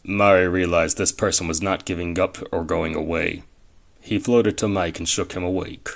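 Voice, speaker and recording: A man's voice: low-pitched, fairly even intonation, moderate pace, gravelly, heavy background noise. A man reading scripted story narration for an audio drama.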